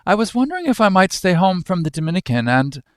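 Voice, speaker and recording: A man's voice: sits high, sing-song, fast, dry recording, studio quality, pitched above the performer's own voice. A man playing the timid grown son of a rich banker, speaking meekly, politely and hesitantly. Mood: meek, nervous.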